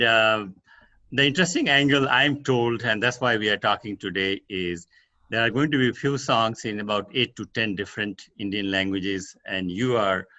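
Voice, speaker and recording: A man's voice: lowish voice, pushed and bright. An older man hosting an interview over a video call, hesitating with uh fillers. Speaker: host. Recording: dull lo-fi call audio.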